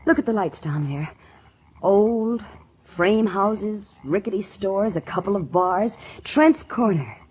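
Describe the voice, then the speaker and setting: A woman's voice: medium pitch, expressive, slow, darkish tone, slightly rough. An actress playing an earnest, ambitious young country woman in a 1940s radio drama, speaking in a dramatic, wistful and emphatic way. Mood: defiant, insistent. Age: young adult. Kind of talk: 1940s radio drama acting and first-person narration. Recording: muffled old 1940s radio recording.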